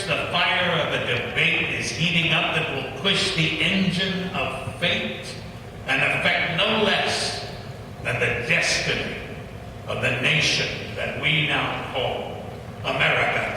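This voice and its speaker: A man telling a historical story in a theatrical, measured style, performing in a large church hall. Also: a little high, noisy background. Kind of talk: dramatic historical narration. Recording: echoey church hall from far off.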